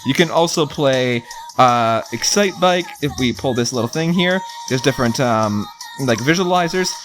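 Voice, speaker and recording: A man's voice: mid-range voice, animated, normal speed, over music. A man presenting a hands-on tech review, informally talking through each step as he goes. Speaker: video reviewer.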